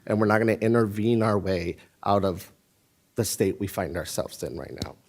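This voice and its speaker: A man's voice: on the low side, expressive, normal speed, gravelly, noisy background. A man, a school district administrator, explaining instructional plans to a board meeting in an emphatic, explanatory way. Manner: explanatory, emphatic, insists on finishing.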